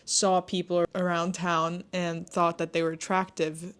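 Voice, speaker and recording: Young woman with a lowish voice, chatty. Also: little pitch movement, a little slow. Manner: upbeat, laughs easily. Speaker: podcast co-host. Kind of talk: casual chat.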